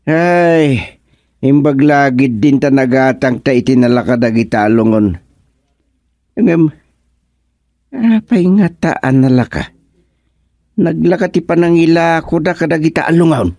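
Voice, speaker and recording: An actor playing a talkative, comic village man in a radio comedy drama, with exclamatory, drawn-out delivery. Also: a little high, lively intonation, a little mellow, no echo, clean studio sound. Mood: surprised, chatty.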